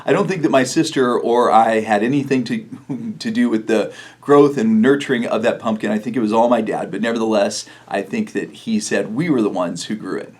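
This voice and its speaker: Middle-aged male pastor with a low voice, relaxed storytelling with fillers, for a video sermon. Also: moderate pace. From New Mexico, USA.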